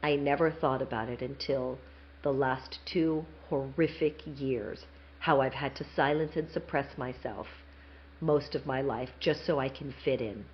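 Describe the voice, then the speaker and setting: A middle-aged woman guest talking about her own life, animated and heartfelt, acting out the voices of other people as she tells it. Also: very deep voice, measured pace, a little hiss or hum. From Palestinian, grew up in Saudi Arabia. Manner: animated storytelling, acts out quoted voices. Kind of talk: emotional personal interview talk. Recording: dull lo-fi sound.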